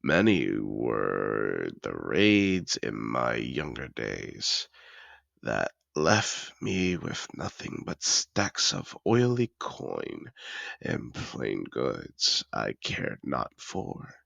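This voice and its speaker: Man voicing a very deep, gravelly, suave dragon. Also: wildly swooping pitch, a bit bright, no echo, full character voice. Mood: suave, self-satisfied. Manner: theatrical, refined, unhurried storyteller. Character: suave, possessive, wealthy male dragon in human disguise. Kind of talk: scripted in-character audio roleplay monologue.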